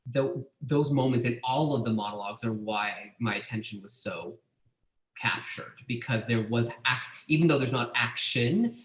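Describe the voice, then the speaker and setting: A man's voice: medium pitch, normal speed, fairly bright tone. Enthusiastic man talking in an echoey room. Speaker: theater director and host. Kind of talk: casual conversation.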